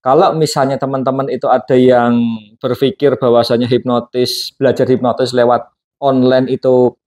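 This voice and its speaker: A man's voice: mid-range voice, little pitch movement, normal speed, darkish tone. A man hosting a casual interview, prompting his guest with many questions and tag phrases. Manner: chatty, prompting questions with frequent tags. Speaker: host and hypnosis teacher.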